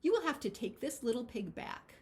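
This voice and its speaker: A woman playing an exclamatory, animated storybook granny. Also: on the high side, a little fast, pitched above the performer's own voice. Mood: indignant. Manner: exclamatory, emphatic. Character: lonely, spirited granny with indignant surprise. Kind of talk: children's storybook character.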